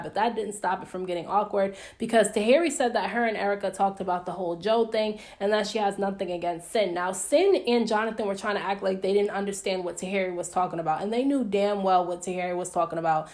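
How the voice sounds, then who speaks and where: A woman's voice: medium pitch, a little mellow. A young American woman giving a casual, gossipy recap of reality TV, chatty and opinionated with slangy mild swearing. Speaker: YouTube reviewer recapping reality TV.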